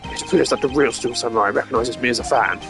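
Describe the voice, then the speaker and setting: A man's voice: medium pitch, fast talker, music in the background, lightly acted. A man voicing a smug, haughty old ambassador under suspicion in a video game, pompous and condescending. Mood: self-satisfied.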